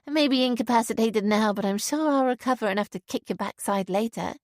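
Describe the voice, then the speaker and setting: A quick-tongued young woman, dry and sarcastic. A woman narrator voices her close to the mic in a dry room. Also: on the high side, no room sound, lightly acted.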